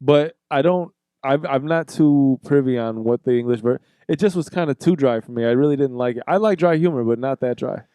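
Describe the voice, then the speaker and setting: A man's voice: medium pitch, a little fast, hardly any pauses, dark tone, smooth, no room sound. A man co-hosting a podcast in casual, rambling banter, playful and swearing casually. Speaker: podcast co-host.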